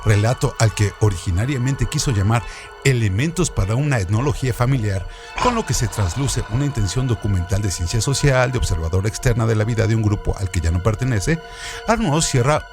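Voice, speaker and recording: A male presenter reads scripted literary trivia with a very animated, varied intonation. His delivery is didactic and built on rhetorical questions, over a faint bed of music. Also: mid-range voice, brisk. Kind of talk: scripted educational narration.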